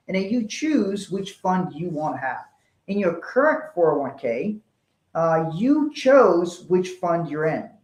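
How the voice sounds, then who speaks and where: Higher-pitched man teaching in a roomy space. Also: a little mellow. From Liberia, West Africa. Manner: explanatory, illustrates points with acted-out dialogue. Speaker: financial educator, webinar presenter. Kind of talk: conversational webinar teaching. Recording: roomy echo.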